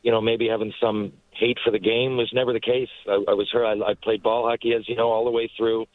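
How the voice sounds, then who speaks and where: A man's voice: on the low side, little pitch movement, fast, no echo, rough recording. A man in his forties from Newfoundland, a guest who talks in a heartfelt, rambling way with many restarts. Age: 40s. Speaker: guest, retired hockey player. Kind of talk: casual interview talk.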